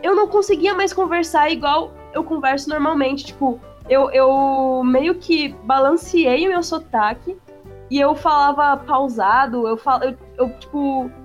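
Paulista woman, high voice, tons of slang n swearing, soft music behind. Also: dull audio. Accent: São Paulo Portuguese. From São Paulo, Brazil. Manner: slangy and profane, rushes when excited. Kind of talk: casual chat.

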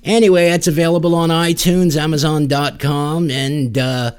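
A man's voice: on the high side, little pitch movement, normal speed, smooth. A man hosting a podcast in a casual, joking, rambling interview style with a playful, wry manner. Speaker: podcast host.

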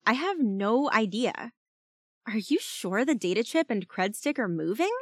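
A woman's voice: on the high side, very animated, measured pace, no echo, clean studio sound, pitched above the performer's own voice. A woman voicing an earnest, exasperated female spellcaster in an audiobook, pleading and defensive. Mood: puzzled.

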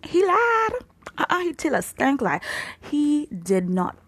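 A woman's voice: sits high, huge pitch swings, slow pace. A woman with a Trinidadian accent giving an informal Bible teaching in an earnest, emphatic, exhorting manner. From Trinidad and Tobago. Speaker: preacher, podcast host.

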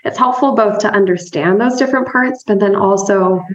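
A woman's voice: medium pitch, moderate pace. A woman therapist and trainer giving an upbeat, conversational explanation as an interview guest, laughing often and checking in with "right?". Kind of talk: casual explanatory interview talk. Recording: roomy, slightly dull remote audio.

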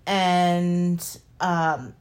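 A woman's voice: fairly low pitch, steady tone, drawn-out words. A woman co-hosting a podcast with casual, teasing banter. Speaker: podcast co-host.

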